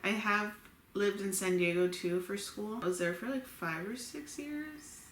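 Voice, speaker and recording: A woman's voice: medium pitch, unhurried, a bit bright. A woman in her late twenties from Los Angeles, co-hosting a couple's Q&A vlog and telling stories in an easygoing, giggly way. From Los Angeles, USA. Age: 20s. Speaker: vlogger co-host. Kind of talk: casual couple Q&A chat. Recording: echoey room with faint hiss.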